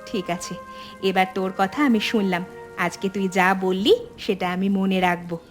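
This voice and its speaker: A woman playing a sensible married elder sister and mother in an animated family drama, composed and reflective. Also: mid-range voice, measured pace, soft background music, close to an everyday voice. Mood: regretful.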